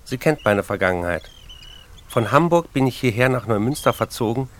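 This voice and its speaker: A man's voice: medium pitch, animated, normal speed, fairly bright tone, lightly acted. An actor playing a besotted, restless writer in a radio play, reading his letters aloud with dry, precise, literary phrasing. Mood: dry, wry.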